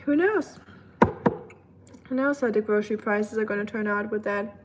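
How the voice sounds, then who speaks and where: A woman's voice: medium pitch, moderate pace. A woman with a German accent chatting casually to livestream viewers, thinking aloud in a rambling way with dry humor. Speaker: livestream host. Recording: clear background ambient noise.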